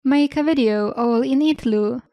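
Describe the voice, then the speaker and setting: A young woman with a Polish accent, Polish her native language, calmly reading questions and her answers as a channel host. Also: high voice, unhurried, no echo. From Eastern Europe. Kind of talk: scripted Q&A narration.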